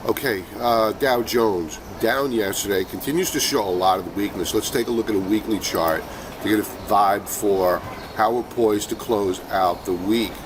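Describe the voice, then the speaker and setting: A man's voice: fairly low pitch, moderate pace. Middle-aged man with a cold, street noise. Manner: conversational, many fillers and restarts. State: has a cold, sniffling. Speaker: trading show host. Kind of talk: casual market commentary.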